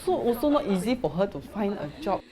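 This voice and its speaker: A woman's voice: medium pitch, very animated, a little fast, crowd noise. A woman volunteer, talking in a casual interview in a chatty way. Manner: chatty, with fillers and restarts. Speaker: charity volunteer.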